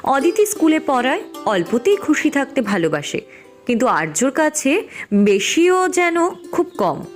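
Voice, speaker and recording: A woman's voice: sits high, sing-song, a little slow, faint music behind. A woman reading scripted storybook narration for a cartoon.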